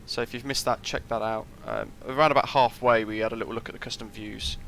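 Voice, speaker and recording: A man's voice: medium pitch, steady tone, quick pace, fairly bright tone, a little hiss or hum. A young British man casually commenting on a live flight sim stream, rambling with lots of fillers and answering chat.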